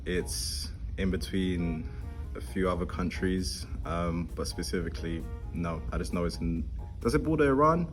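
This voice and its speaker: A man's voice: low voice, normal speed. A man from London with a London accent, answering interview questions unscripted. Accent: London English. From London, England. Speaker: interview participant. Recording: music behind the voice. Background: background music.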